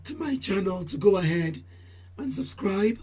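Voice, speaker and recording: Female channel host, very husky and mellow, voice deepened by a recent cold, formal and repetitive delivery. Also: animated, normal speed. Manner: formal, repeats phrases, addresses viewers as ladies and gentlemen. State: voice deeper than usual from a cold. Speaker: channel host giving crypto price updates. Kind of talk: solo market update talk.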